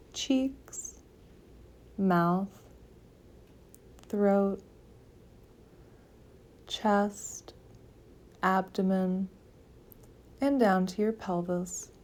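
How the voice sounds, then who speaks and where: A woman leading a guided meditation, giving calm, gentle, soothing instructions with long pauses between phrases. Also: mid-range voice. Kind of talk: guided yoga nidra meditation.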